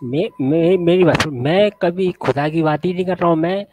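A man with a sore throat joins a group debate over a video call. His voice sits slightly high. He argues haltingly, repeating words and restarting his sentences. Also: background noise.

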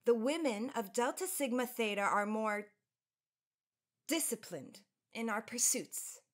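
A woman's voice: a little high, expressive, measured pace, a bit bright. An actress playing an earnest, proud young college woman of the 1910s with formal, careful elocution in a radio drama. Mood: proud, resolute. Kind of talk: period radio drama acting.

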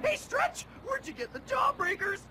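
A man voicing a brash, scheming cartoon boy for a video game, pushy, excitable and nasal. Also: very high voice, normal speed, loud music behind the voice. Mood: bossy, frantic.

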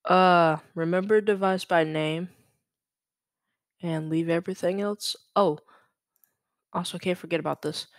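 A young man from Louisiana hosting a casual, unscripted screen-share tutorial, halting and correcting himself as he goes. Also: high-pitched, fairly even intonation, dry recording, studio quality. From Louisiana, United States. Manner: hesitant, halting, self-correcting. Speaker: tutorial host. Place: indoors at a computer. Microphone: USB microphone.

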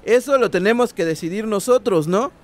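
A man's voice: high-pitched, moderate pace, natural voice. A man playing an indignant villager in a radio drama, challenging and insistent as he speaks. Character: indignant male villager defending local autonomy.

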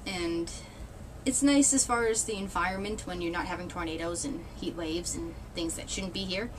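A woman's voice: on the low side, normal speed, slight background noise, rough recording. A woman in her thirties from New England, chatting to a webcam in a small room, rambling and wry with frequent laughs and mild swearing. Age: 30s. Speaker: vlogger. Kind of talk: casual chat. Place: small indoor room with red cloth backdrop.

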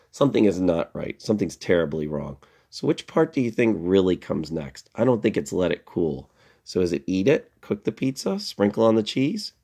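A middle-aged man giving a lesson to young children as an elementary school teacher, playful and expressive, posing questions and answering them himself. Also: lowish voice, gravelly.

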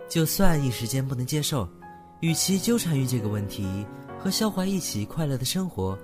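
A man's voice: medium pitch, lively intonation, normal speed, over music, natural voice. A man acting an unflappable, blunt young man who counsels and teases a friend in a Mandarin radio drama, matter-of-fact in manner. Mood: earnest, advising. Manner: unfazed, matter-of-fact, teasing.

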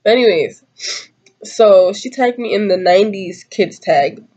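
A woman's voice: mid-range voice, expressive, a little slow, warm tone, breathy edge, dull audio. A woman in her twenties chatting casually to camera, a bit congested from a cold, giggly and rambling in slangy talk. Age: 20s. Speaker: YouTuber doing a tag video. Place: small room at home, indoors.

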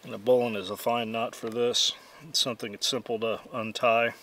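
A man's voice: mid-range voice, little pitch movement, a little fast, fairly bright tone, slight background noise. A middle-aged man giving methodical, plainspoken how-to instruction outdoors as he demonstrates gear. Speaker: host and demonstrator.

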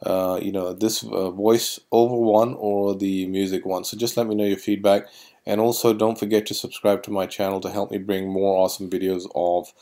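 A man giving informal voice-over commentary as a tour guide, haltingly, often repeating and correcting himself. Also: deep voice, little pitch movement, mellow.

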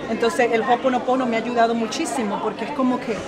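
A woman's voice: on the high side, steady tone, fast talker. A woman from Venezuela giving an emotional testimonial at an expo, gushing and switching between Spanish and English mid-sentence. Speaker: event attendee giving a testimonial. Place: large indoor expo hall. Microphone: phone camera held close. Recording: busy expo hall crowd noise. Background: loud crowd chatter.

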